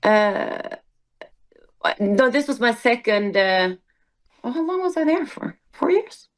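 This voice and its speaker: A Swedish woman, a musical theater performer, speaking English as a second language in a relaxed interview, thoughtful and full of fillers. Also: a little high, slow. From Sweden. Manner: reflective, hesitant with many fillers. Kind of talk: casual interview conversation.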